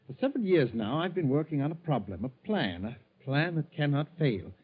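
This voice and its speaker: An actor playing an earnest, overconfident bookkeeper with a secret plan, in 1940s radio drama style, with halting, insistent speech. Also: male voice, fairly high pitch, sing-song, breathy edge, phone-quality sound. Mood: confident, secretive. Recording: scratchy, phone-thin 1940s radio recording.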